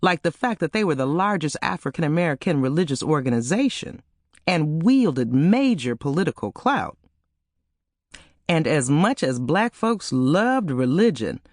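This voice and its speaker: A woman narrating an audiobook novel in an expressive, measured storytelling manner. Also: sits low, no room sound, studio quality. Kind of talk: dramatic scripted fiction narration.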